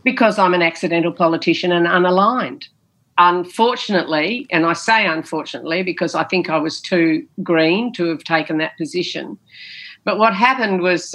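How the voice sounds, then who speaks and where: A woman's voice: fairly low pitch, a bit bright. A woman, a former politician, answering interview questions in an emphatic, storytelling way with a big theatrical voice, often repeating phrases and saying "you know".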